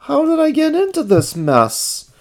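A reader voicing an excitable, earnest childlike male puppet character in a storybook reading, with an exclamatory delivery. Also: high voice, a little slow, voice pushed up, full character voice. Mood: troubled, pleading.

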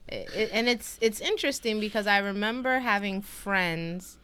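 A woman's voice: medium pitch, moderate pace, noisy background. A young American woman co-hosting a podcast, talking casually and reflectively with many fillers. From United States. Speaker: podcast co-host. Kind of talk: casual conversation.

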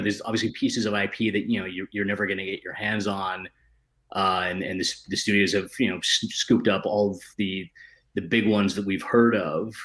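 A man with a fairly low voice talks in a casual panel discussion. His delivery is halting, with words repeated as he thinks his way through. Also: fairly bright tone, low-quality recording. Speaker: writer, panel guest.

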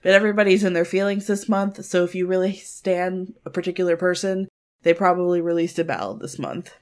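A woman's voice: on the low side, brisk, a little mellow. A woman co-hosting a podcast in casual chat, nasal-voiced, blunt and profane, often giggling. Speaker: podcast co-host. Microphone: home recording mic.

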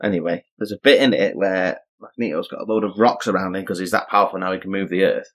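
A man's voice: deep voice, fast talker, fairly bright tone. A man co-hosting a podcast, telling rambling stories in casual chat. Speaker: podcast co-host.

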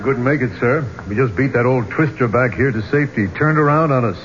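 An actor playing a plain-spoken, resolute archaeologist adventurer, wry and clipped, in a 1970s radio drama. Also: male voice, medium pitch, a little fast, fairly bright tone, lightly acted. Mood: matter-of-fact. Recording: dull, very noisy old radio recording.

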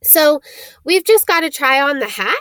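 A woman voicing a nervous eleven-year-old schoolboy who complains in a hushed whisper. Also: high-pitched, big pitch swings, moderate pace, dry recording, voice pushed up. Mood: nervous, grumbling.

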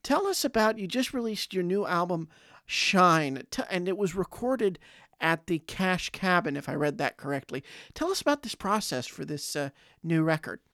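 A man's voice: sits high, animated, moderate pace, bright, forceful tone, no room sound, clean studio sound. A man hosting a podcast, friendly, asking questions with some hesitant "uh"s. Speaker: podcast host. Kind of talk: casual chat.

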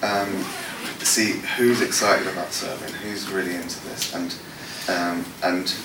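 A man with a low voice fields questions at a church gathering, stumbling through fillers and restarts as he thinks aloud. He sounds distant from the mic, in a roomy hall with noise around him. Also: level tone, measured pace, creaky. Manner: hesitant, many fillers and restarts. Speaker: worship leader leading a panel session. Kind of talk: unscripted talk answering questions. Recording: echoey room, distant mic, noisy.

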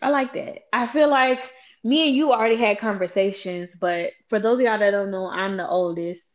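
A woman in her late twenties hosting a casual chat with her sister, animated and teasing, talking straight to her viewers. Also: medium pitch, normal speed, muffled recording. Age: 20s. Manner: animated, playful teasing, talks to viewers directly. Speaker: host.

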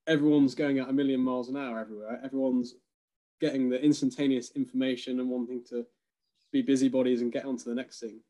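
A man in a casual conversation, thinking aloud with frequent hesitations and soft laughs. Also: mid-range voice.

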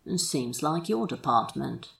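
Woman as a creaky, drawling male demon. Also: a bass voice, lively intonation, unhurried, no room sound, full character voice. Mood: sardonic. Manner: loose, slurring drawl. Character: Crowley, drawling tipsy male demon, sardonic and sentimental. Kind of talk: audio fan fiction character acting.

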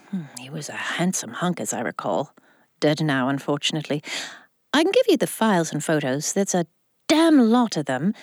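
Flamboyant rich old widow, swooping delivery. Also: female voice, on the low side, no echo, clean studio sound. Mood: wry, matter-of-fact. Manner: theatrical, grand, flirtatious drawl. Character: flamboyant, lusty, vengeful wealthy widow in her seventies. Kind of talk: audio drama acting.

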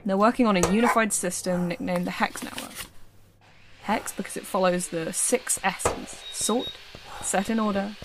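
A woman's voice: mid-range voice, fairly even intonation, normal speed, background noise, natural voice. A woman playing a guarded, dryly teasing staffer at a secret facility in an audio drama, with casual, clipped delivery. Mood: dry, businesslike. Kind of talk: audio fiction acting.

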